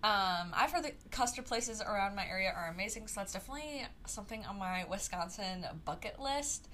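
A woman's voice: medium pitch, a little fast, bright, forceful tone, slight background noise. A young woman from the Chicago area hosting a solo podcast, chatting casually and rambling through tangents with many fillers. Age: young adult. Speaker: podcast host.

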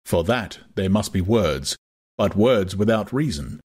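Low, husky male audiobook narrator. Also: expressive, a little slow, a little mellow. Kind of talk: dramatized scripted narration.

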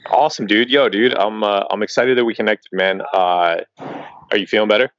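A laid-back man in his early thirties from Colorado, talking as a podcast guest with a low, deep voice. He rambles easily, restarts his sentences and drops "man" and "bro" everywhere. The sound is dull and dry, like a lo-fi remote call. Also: moderate pace, fairly bright tone, no room sound. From Colorado, USA. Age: early 30s. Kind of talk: casual interview chat.

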